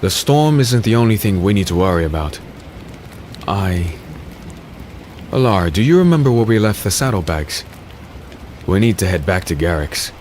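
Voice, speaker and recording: Very deep, grim haunted warrior. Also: male voice, big pitch swings, darkish tone, a little hiss or hum. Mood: urgent, pleading. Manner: slow, brooding, dramatic delivery. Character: haunted warrior fugitive, weary, grim, dreading a tyrant's vengeance. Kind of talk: full-cast dark fantasy audio drama. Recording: music behind the voice.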